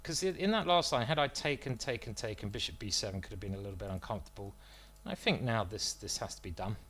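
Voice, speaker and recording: A man's voice: lowish voice, fast, a bit bright. Self-mocking man commentating live chess. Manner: self-deprecating, joking, thinking aloud about moves. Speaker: chess streamer commentating his own games.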